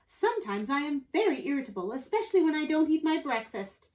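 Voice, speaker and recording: A prim, well-spoken male rascal acted out by a woman for a children's storybook. The voice is high and very proper, with polite, formal diction. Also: big pitch swings, a little fast, darkish tone. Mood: polite, earnest.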